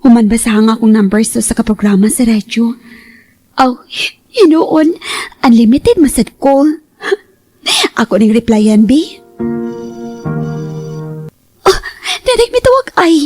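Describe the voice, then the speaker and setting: High, husky-voiced naive wife. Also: female voice, very animated, measured pace, long pauses, darkish tone, faint music behind. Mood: flustered, curious. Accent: Central Visayan Filipino. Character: Jean, naive, hesitant married mother with phone textmates. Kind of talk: Cebuano radio drama acting.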